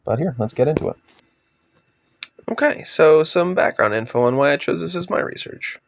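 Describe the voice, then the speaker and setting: A young man with a voice raspy from a cold, steadily narrating a slide presentation on his undergraduate research project. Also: on the high side, normal speed, no echo. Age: young adult. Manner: steady, explanatory, trails off at sentence ends.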